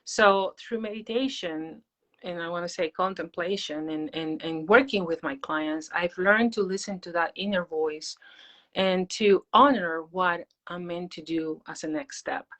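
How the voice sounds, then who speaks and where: A woman with a Peruvian Spanish accent speaks in a slightly low voice. She is an interview guest, explaining things in a personal, reflective, conversational way. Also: measured pace, a bit bright. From Peru. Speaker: interview guest, meditation teacher.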